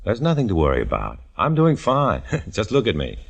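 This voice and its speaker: A man's voice: on the low side, huge pitch swings, normal speed, creaky, exaggerated voice. An actor narrating as a tense undercover man posing as a communist, in hard-boiled 1950s radio drama style, on an old broadcast with music behind. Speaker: narrator and lead.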